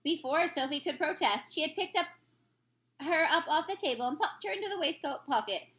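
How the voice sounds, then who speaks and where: A woman's voice: sits high, lively intonation, fairly quick, a bit bright. A woman reading a children's story aloud as narrator, in the style of a teacher reading to pupils.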